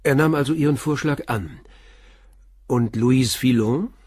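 An actor playing a calm police inspector who asks short, probing questions in a radio drama. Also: male voice, medium pitch, a little slow, husky, no room sound, clean studio sound. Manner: brief, quiet, probing questions. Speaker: detective questioning a witness.

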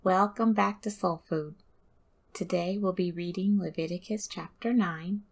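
A woman reads scripture as the host of a devotional program. Her voice sits a little low, and she goes very slowly. Each phrase is measured and deliberate, set apart by pauses. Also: fairly even intonation, husky.

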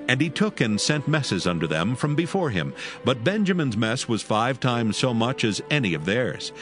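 Male narrator, dramatized scripture, lively intonation, music behind. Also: fairly low pitch.

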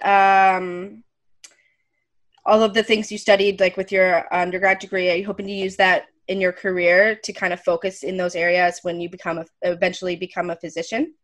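A woman's voice: medium pitch, a bit bright. A woman hosting a podcast interview over a headset from home, friendly and hesitant with many ums. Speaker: podcast host. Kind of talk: casual interview host. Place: room at home.

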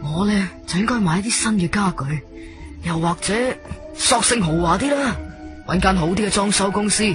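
A man's voice: high-pitched, normal speed, natural voice. An actor playing an eager, fussy male home buyer in a Cantonese radio drama, musing aloud to himself. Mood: pleased, hopeful. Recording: music bed behind studio voice.

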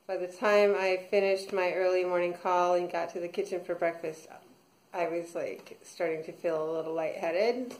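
A woman in her thirties recording a casual video diary at home, rambling with many fillers and restarts. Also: mid-range voice. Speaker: vlogger. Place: small room at home, indoors. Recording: echoey room.

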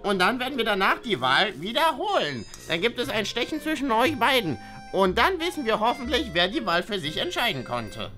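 A woman's voice: fairly high pitch, sing-song, fairly quick, pushed and bright, faint music behind. A woman voicing a brainy, pedantic schoolboy sidekick, fussy and hesitant, in a children's school story. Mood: flustered, officious.

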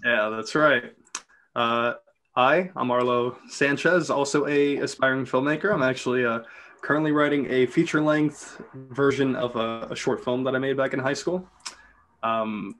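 A man's voice: mid-range voice, moderate pace, a bit bright. A man in his twenties introducing himself casually on a video call from a bedroom. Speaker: guest reader, amateur filmmaker. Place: bedroom indoors.

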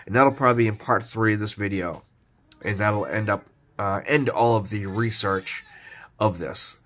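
A man's voice: fairly low pitch, normal speed. Stuffy-voiced man showing his coins. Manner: relaxed, rambling, frequent fillers. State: stuffy from a cold. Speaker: coin collecting vlogger. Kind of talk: casual show-and-tell narration.

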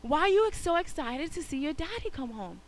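A woman voicing a stern aunt who scolds a boy in a shrill, indignant voice. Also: very animated, fairly quick. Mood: scolding.

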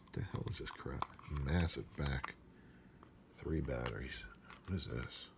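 A man's voice: rumbling low, lively intonation, unhurried, gravelly. A man giving casual off-the-cuff unboxing commentary in a grumbling, sarcastic way, recorded on a handheld phone. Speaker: unboxing vlogger. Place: indoors at a wooden desk. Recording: noisy handheld recording with handling clicks.

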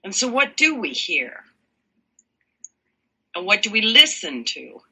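Interview guest, woman, low husky voice with a bright, tense edge, slow emphatic delivery. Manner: animated, laughs often, slow emphatic phrasing. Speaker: guest, sound healer. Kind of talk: warm expressive interview talk.

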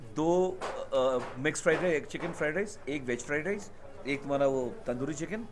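A man chatting casually with a friend outdoors, relaxed and matter-of-fact. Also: on the high side, brisk, noisy background. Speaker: travel companion. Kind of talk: casual chat.

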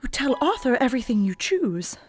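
An actress playing a sweet, selfless young Victorian gentlewoman confiding in someone in a radio play. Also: high-pitched, very animated, brisk. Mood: sweet, weary. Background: music.

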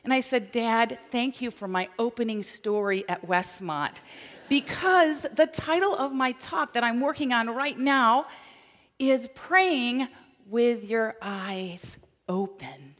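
A middle-aged woman from Michigan giving an animated, storytelling chapel talk on a large stage. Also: on the high side, slow pace. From Michigan, USA. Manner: animated, gesturing while walking the stage. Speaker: chapel speaker. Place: large dark auditorium stage. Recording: long hall reverb.

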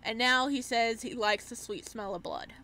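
A woman gaming streamer talks in a fairly high voice. Her commentary is casual and expressive, full of exclamations, in the manner of a playthrough. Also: moderate pace.

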